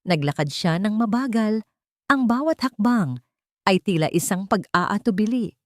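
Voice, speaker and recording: A woman's voice: medium pitch, expressive, a little slow, dry recording, clean studio sound. A woman reading a scripted first-person story in a dramatic, solemn manner. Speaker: narrator.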